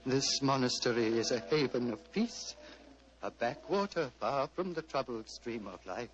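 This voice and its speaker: An actor playing a serene, fatherly old Tibetan abbot in a measured, formal radio drama style. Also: mid-range voice, expressive, lightly acted. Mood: calm, fatherly. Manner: measured, formal, gently paternal. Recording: muffled vintage radio, hum and hiss.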